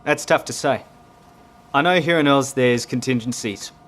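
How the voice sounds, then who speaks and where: A man's voice: medium pitch, normal speed, fairly bright tone, natural voice. An Australian-accented man playing a courteous, grave navy commander in an audio drama, polite and plain-spoken. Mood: matter-of-fact.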